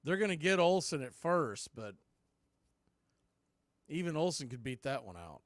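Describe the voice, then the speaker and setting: A man's voice: a little high, big pitch swings, measured pace, no room sound. A man giving live play-by-play commentary on a baseball game as the radio announcer.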